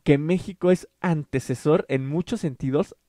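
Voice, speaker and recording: A man's voice: a little high, normal speed, dark tone, no room sound, clean studio sound. A man hosting a casual literary podcast, explaining at length with fillers and restarts. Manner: explanatory, many fillers and self-corrections. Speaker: podcast host.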